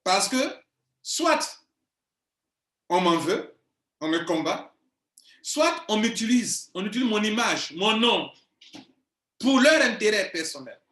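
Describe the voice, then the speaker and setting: Insistent man, high voice. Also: very animated, takes it slowly, long pauses, pushed and bright. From Ivory Coast. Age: 40s. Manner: insistent, emphatic, repeats himself. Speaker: online business coach. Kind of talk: video monologue to viewers. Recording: echoey room.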